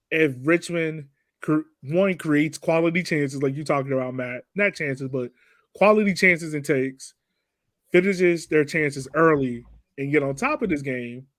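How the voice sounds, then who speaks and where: Guy hosting a sports podcast, voice a bit on the high side, dry close sound, loose and slangy. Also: no room sound. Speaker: podcast host. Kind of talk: casual sports podcast chat.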